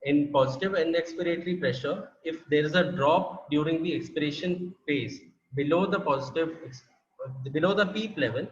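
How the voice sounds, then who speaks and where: Indian man presenting a technical workshop; slightly high voice, roomy and dull call audio. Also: moderate pace. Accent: Indian English. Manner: explanatory, with frequent fillers and restarts.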